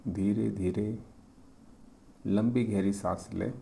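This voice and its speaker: A man leading a guided meditation, speaking calmly and gently in short phrases with pauses as he gives instructions. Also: lowish voice, vocal fry, slight background noise.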